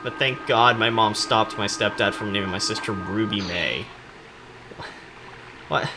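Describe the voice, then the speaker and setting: A man's voice: on the low side, a little slow, music in the background. A man hosting casual comedic commentary on game cutscenes, joking and rambling in slangy speech. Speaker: video host.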